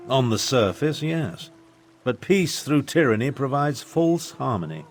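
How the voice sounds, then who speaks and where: A man's voice: medium pitch, big pitch swings, measured pace, soft background music. A man playing an eloquent, indignant royal half-brother in a video game, speaking in a formal, articulate, theatrical manner. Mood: grave, appalled. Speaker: guide. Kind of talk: scripted video game dialogue.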